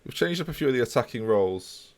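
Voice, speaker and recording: A man's voice: mid-range voice, fast. A man casually chatting with his live-stream viewers while playing a football management game. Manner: relaxed, chatty, talks to chat while playing.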